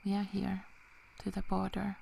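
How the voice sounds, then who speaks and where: A woman playing a loyal, protective young werewolf in a whispered ASMR roleplay, speaking softly and haltingly. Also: medium pitch, monotone, vocal fry, slight background noise, rough recording. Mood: soft, reassuring. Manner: soft, halting, breaking into whispers.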